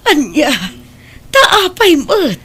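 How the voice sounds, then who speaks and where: A woman playing a worried, sorrowful middle-aged mother in a Filipino radio drama, pleading and blaming herself in a husky voice. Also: very high-pitched, wildly swooping pitch, drawn-out words, pushed and bright, heavily acted. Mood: reproachful. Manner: pleading, lamenting.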